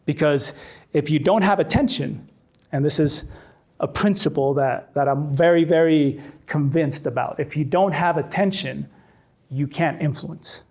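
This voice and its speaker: A man's voice: fairly high pitch, measured pace, darkish tone. Chilean-born man leading a workshop. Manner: conversational, repeats words as he restarts. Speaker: storytelling workshop trainer. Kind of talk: workshop talk to faculty. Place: classroom with a live audience.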